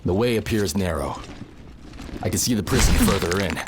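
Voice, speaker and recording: A man playing a stern, composed military commander in a video game, speaking in a measured, authoritative way. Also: low voice, animated, background noise. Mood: watchful. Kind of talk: video game cutscene acting.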